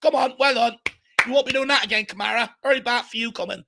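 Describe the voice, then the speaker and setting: A hoarse Irish man hosting a fan watchalong, giving excited live commentary and urging the players on. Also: very high voice, fast, background noise. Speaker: fan channel host. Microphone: home desk mic.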